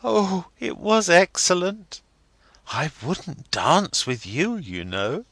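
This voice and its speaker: A man voicing a playful, pouting young woman in a high, sing-song girlish voice. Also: wildly swooping pitch, slow pace, fairly bright tone, no echo, exaggerated voice. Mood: playful, teasing.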